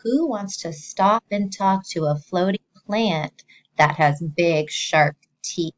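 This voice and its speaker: Slow-paced woman reading aloud to children. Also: fairly low pitch, dull audio. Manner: expressive, simple explaining, pausing to ask questions. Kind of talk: children's read-aloud with questions to listeners.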